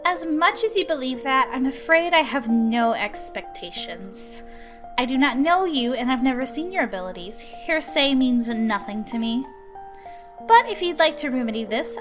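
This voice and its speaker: Visual novel tutor: high-pitched, measured, courteous woman playing a scholarly young teacher, music underneath. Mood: earnest. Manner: polite, measured, earnest. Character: patient, formal woman researcher acting as substitute tutor. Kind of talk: visual novel character reading.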